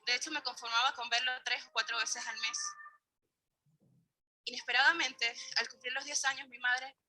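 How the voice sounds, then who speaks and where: A woman's voice: fairly high pitch, steady tone, moderate pace, pushed and bright, poor audio. A woman magician from Venezuela telling her own story on stage, stating exact figures with care. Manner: precise, counting out exact figures. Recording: played clip with music behind. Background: music.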